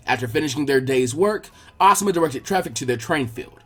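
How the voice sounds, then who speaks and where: A man reading fan fiction aloud as an expressive story narrator. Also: mid-range voice, a little fast.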